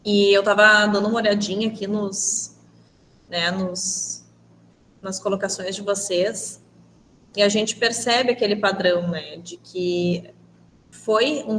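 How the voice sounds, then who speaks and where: A woman's voice: mid-range voice, a bit bright, big-room sound, slight background noise, low-quality recording. A woman teacher leading an online class discussion in a conversational way, often adding "né" tags.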